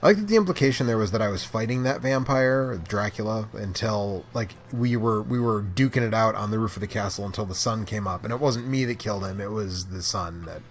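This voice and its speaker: A man chatting casually as he plays a game, commenting in rambling, halting asides. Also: fairly low pitch. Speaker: host playing an arcade game. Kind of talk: casual gaming chat and commentary. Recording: clearly noisy room, clicking controls and keys.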